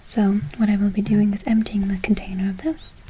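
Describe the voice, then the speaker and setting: A woman's voice: medium pitch, little pitch movement, a little fast, background noise. A woman whispering an ASMR roleplay as a soothing witch, lingering on repeated words and endearments. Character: soothing witch casting a good luck spell. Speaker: ASMR performer.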